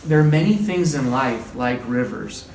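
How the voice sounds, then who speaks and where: A man's voice: mid-range voice, lively intonation, moderate pace, darkish tone. A man leading an interactive read-aloud lesson, speaking in an encouraging, conversational way and repeating what listeners say. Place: indoor group training session. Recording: roomy, distant, clearly noisy room recording.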